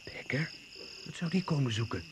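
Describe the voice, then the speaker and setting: A man's voice: medium pitch, big pitch swings, normal speed, close to an everyday voice. An actor playing a sceptical, worldly British secret service man in a Dutch radio drama, giving a terse, confiding briefing. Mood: sceptical, puzzled. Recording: noisy old radio play recording.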